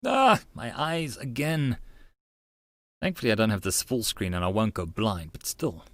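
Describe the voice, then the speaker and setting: A man's voice: on the low side, animated, a little slow, a bit bright, no room sound. A man giving casual, joking asides while reading through a game in a wry, offhand way. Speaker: channel narrator.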